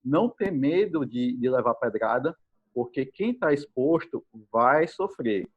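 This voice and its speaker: A man's voice: medium pitch, animated, measured pace, breathy edge. A male host from Brazil, chatting with a guest on a live video call, friendly and enthusiastic with many fillers. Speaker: podcast host. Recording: dull, lo-fi call audio.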